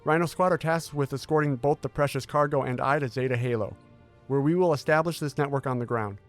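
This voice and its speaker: A man's voice: mid-range voice, natural voice. Man acting as formal military scientist over music. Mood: formal, explanatory. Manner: measured, formal, authoritative. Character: composed, determined male military intelligence scientist briefing Marines. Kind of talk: audio drama acting, briefing.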